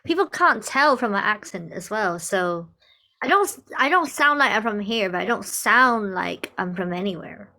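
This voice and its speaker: A woman's voice: mid-range voice, moderate pace. A young woman from Hong Kong, a music therapist, talking animatedly in a conversation, laughing often and acting out what other people say to her. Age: young adult. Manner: animated, laughs often, acts out quoted remarks. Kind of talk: conversational discussion.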